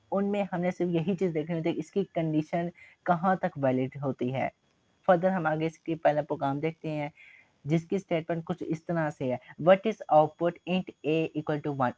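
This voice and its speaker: A teacher with a Pakistani accent talks through an exam-prep lesson, going over each step slowly and patiently, and repeating key points. The voice sits at a middle pitch, and the recording sounds dull and lo-fi. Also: a little mellow. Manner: patient, repetitive explaining, steps worked through slowly.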